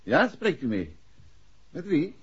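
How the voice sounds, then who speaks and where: A man's voice: a little high, wildly swooping pitch, slow, full character voice. An actor playing a protective, probing detective husband in a 1960s radio drama, with a decisive, fatherly manner. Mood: puzzled. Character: married male detective, protective, probing and authoritative. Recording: dull, noisy 1960s radio recording.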